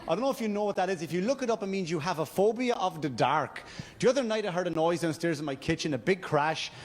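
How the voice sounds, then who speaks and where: High-voiced Irish man doing stand-up. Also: animated. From Wexford, Ireland. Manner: halting, stammered, repetitive delivery. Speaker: stand-up comedian. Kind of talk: stand-up comedy storytelling. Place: comedy stage before a live audience. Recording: live club stage, noisy laughing crowd. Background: audience laughter and crowd noise.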